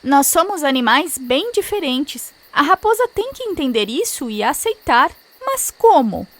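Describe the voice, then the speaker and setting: Gentle, wise female stork, played by a woman, Brazilian accent, high and animated, expressive cartoon delivery. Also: a little slow, heavily acted. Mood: troubled. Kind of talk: children's cartoon dubbing.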